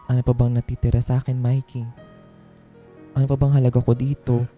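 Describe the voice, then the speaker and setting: A man's voice: medium pitch, flat delivery, a little fast, warm tone, faint music behind, close to an everyday voice. A man playing a downtrodden, self-doubting office worker, plaintive and hurt, in a radio drama. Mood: hurt, despairing. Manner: plaintive, hurt, deferential to bosses.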